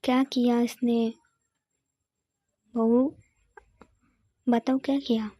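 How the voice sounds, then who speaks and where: A woman voicing a calm, teasing middle-aged mother-in-law in a story. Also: on the high side, very slow, dry recording, studio quality. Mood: questioning.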